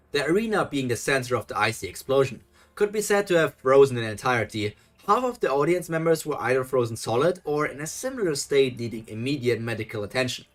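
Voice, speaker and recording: A man reading a story aloud live in a middle-pitched voice, stumbling over words and fixing them as he goes. He often breaks off to comment on the writing, with faint music in the background. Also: fairly bright tone. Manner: reads aloud with frequent self-corrections, breaks off to critique. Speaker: streamer reading a fan story.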